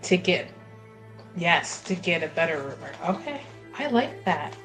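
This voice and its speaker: A woman playing a tabletop roleplaying game, thinking aloud in character in a measured, halting way. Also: lowish voice, big pitch swings, fairly bright tone, soft background music. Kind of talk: casual improvised in-character roleplay.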